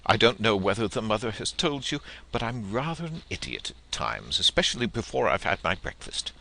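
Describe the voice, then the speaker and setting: Audiobook role: polite, flustered young son, played by a man, hesitant, lifted well above a deep register, animated. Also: a little fast, vocal fry, a little hiss or hum, heavily acted. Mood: embarrassed, apologetic. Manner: hesitant, apologetic.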